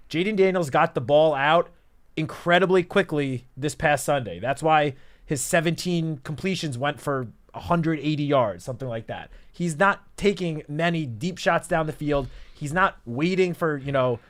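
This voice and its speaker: A man's voice: on the high side, moderate pace. A man co-hosting a sports radio show, laying out statistics and building a detailed argument. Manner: analytical, stat-driven, builds an argument. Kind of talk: sports analysis talk.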